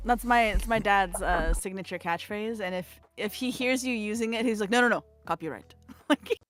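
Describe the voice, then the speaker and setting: A woman's voice: medium pitch, moderate pace. A woman chatting casually in a playful, giggly way, sometimes with jokingly formal phrasing. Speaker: guest on a live work stream. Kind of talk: casual chat.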